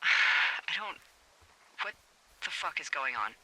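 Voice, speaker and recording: A woman's voice: sits low, big pitch swings, slow, heavily acted. A woman playing a wry, profane fire lookout supervisor over a two-way radio, in natural, conversational video game acting with stammered repetitions. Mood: alarmed. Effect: two-way radio filter. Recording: walkie-talkie sound with faint noise.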